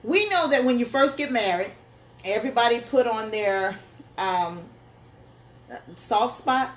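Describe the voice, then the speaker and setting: A woman's voice: fairly high pitch, animated, a little slow. A woman co-hosting a casual chat show with her husband, playful and laughing often. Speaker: co-host. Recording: echoey room with faint noise.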